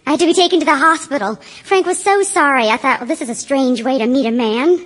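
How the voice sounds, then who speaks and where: An actress playing a chatty, eager-to-please young wife and hostess in an audio stage drama, genteel and a little nervous. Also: female voice, high voice, lively intonation, normal speed, a bit bright.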